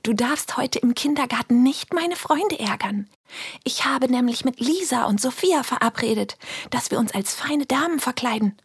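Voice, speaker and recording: Chirpy little kindergarten girl, high and eager, a woman doing the voice, bit breathy. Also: animated, normal speed, dry recording, studio quality, exaggerated voice. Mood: explaining.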